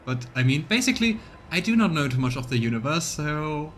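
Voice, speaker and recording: A man's voice: a little high, very animated, fairly quick, a bit bright, slight background noise. A man giving casual commentary and narration as he streams a voiced let's play.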